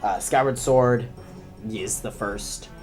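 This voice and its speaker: A young man with a cold talks over gameplay in a lowish, thickened voice. He rambles, loses his train of thought and pokes fun at himself, filling gaps with plenty of ums, while faint music plays underneath. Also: slow pace, darkish tone. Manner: rambling, self-deprecating, many ums. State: sick, deep voice. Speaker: gaming commentator. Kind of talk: let's play commentary.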